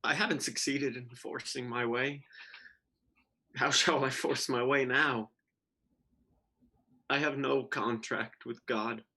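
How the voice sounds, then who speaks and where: A bitter, despairing schoolboy acted by a man broods aloud in a deliberate dramatic monologue. His voice is medium-pitched for a male, with a bright, forceful edge. It has the feel of a stage play being read. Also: steady tone, slightly rough, heavily acted. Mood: bitter, resigned.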